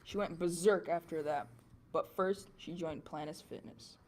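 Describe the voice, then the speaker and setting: A defensive witness, played by a man in an amateur newsreel interview, speaking in a raised, fairly high voice. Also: steady tone, unhurried, a little mellow, lightly acted.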